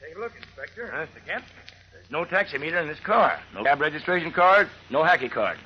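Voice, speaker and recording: An actor playing a dutiful, observant police sergeant in a 1940s radio drama. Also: male voice, fairly high pitch, sing-song, fast. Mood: earnest. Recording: muffled old radio, faint noise.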